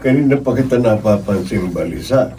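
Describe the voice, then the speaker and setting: A man's voice: lowish voice, animated, brisk, mellow, lightly acted. A man with a Filipino accent playing a kindly, attentive uncle and mentor in a radio drama. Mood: caring. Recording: distant mic with faint noise.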